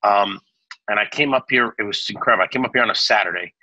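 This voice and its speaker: Chatty man from Naples, bright dry voice. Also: lowish voice, quick pace, no echo, lo-fi sound. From Naples, Italy. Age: 40s. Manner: chatty, many restarts and fillers. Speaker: restaurant owner, guest. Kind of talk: casual interview storytelling.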